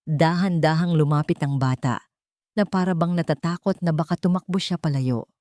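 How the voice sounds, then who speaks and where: A woman's voice: sits low, moderate pace, darkish tone, no echo, clean studio sound. A woman narrating a scripted romantic drama in an emotive storytelling manner.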